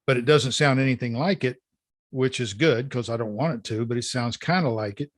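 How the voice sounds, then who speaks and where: A man's voice: mid-range voice, fairly quick, no echo, clean studio sound. A man with a Florida accent hosting a podcast, folksy and plainspoken in casual talk. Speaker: podcast host.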